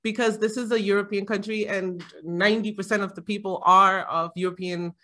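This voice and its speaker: Bright-voiced chatty woman. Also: medium pitch, steady tone, brisk. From Jamaica. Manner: chatty, rambling, laughs often, many fillers. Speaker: panel guest. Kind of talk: casual conversation.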